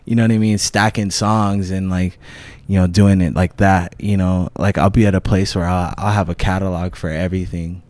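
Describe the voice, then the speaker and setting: A man's voice: sits low, little pitch movement, moderate pace, hardly any pauses, darkish tone, no echo. A young man from Hawaii, a musician being interviewed, talking in a laid-back way with many fillers and restarts. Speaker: guest musician. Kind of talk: casual interview talk.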